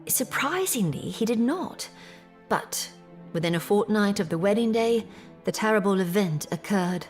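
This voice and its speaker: Actress as Victorian gentlewoman, young, troubled, formal measured storytelling, audio drama, musical backing. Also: medium pitch, animated, faint music behind, natural voice. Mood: sorrowful. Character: distressed Victorian English gentlewoman recounting family misfortune. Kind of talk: period audio drama acting, narrative monologue.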